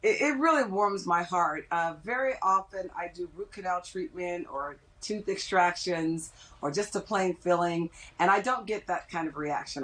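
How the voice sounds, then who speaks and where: Lower-pitched woman explaining as an expert. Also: fairly bright tone, low-quality recording. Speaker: dentist interviewed as expert guest. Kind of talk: explanatory TV interview.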